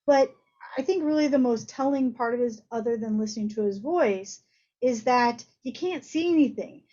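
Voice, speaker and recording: A woman's voice: a little high, a little mellow. A woman doctor giving an informal medical lecture, explaining things conversationally with everyday analogies over slightly muffled audio.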